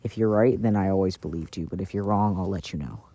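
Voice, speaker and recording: A man doing a casual, intimate ASMR boyfriend roleplay in a soft-spoken, playful way, recorded outdoors. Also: low voice, fast, no echo. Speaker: audio roleplay performer playing a boyfriend. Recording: outdoor recording with background noise. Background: outdoor ambience and noise.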